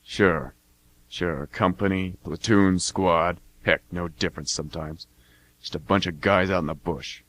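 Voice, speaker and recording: A man's voice: low voice, fairly even intonation, measured pace, fairly bright tone, no room sound. A man playing a laid-back mercenary older brother in an amateur radio drama, with a casual, folksy delivery. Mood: cagey, casual.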